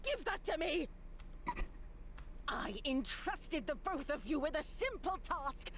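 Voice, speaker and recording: An actress playing a stern, sanctimonious nun in a visual novel, haughty, pious and reproachful. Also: female voice, sits high, big pitch swings, slow pace, fairly bright tone, a little hiss or hum, full character voice. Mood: outraged. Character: stern, sanctimonious nun, scolding her young charges. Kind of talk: dramatic visual novel voice acting.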